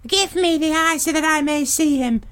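Shrill squabbling ancient hags. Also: female voice, normal speed, fairly bright tone. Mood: pleading. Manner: shrieking, squabbling, pleading. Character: shrill ancient crone sisters, squabbling and whining.

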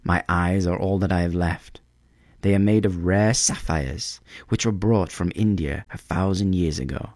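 A man voicing a compassionate male prince statue in a storybook, solemn and sorrowful, gently commanding in his appeals. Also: sits low, unhurried, no echo, clean studio sound.

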